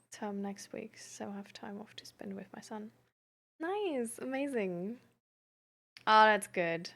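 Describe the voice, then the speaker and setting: Slow-paced woman chatting casually. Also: high-pitched, a bit bright. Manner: halting, giggly, frequent "like" fillers. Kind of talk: casual chat, reading and answering viewer messages.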